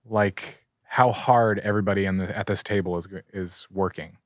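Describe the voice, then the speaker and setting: A man in his thirties co-hosting a podcast, rambling through his answers in halting, filler-heavy casual chat. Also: deep voice, steady tone, no room sound, studio quality. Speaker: podcast co-host. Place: studio room with table and mics.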